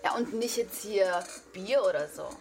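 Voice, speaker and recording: A woman's voice: medium pitch, animated, a little slow, gravelly. An actress playing a candid, breezy young party girl in a naturalistic radio drama, frank and casual, with music underneath. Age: young adult.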